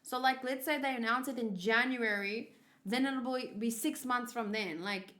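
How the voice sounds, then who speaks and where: A woman with a fairly high voice hosts a casual livestream. She rambles and thinks aloud, circling back over her words as she talks to viewers. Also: moderate pace, bright, forceful tone. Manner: rambling, thinking aloud, often repeating herself. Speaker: streamer hosting a manga read-along.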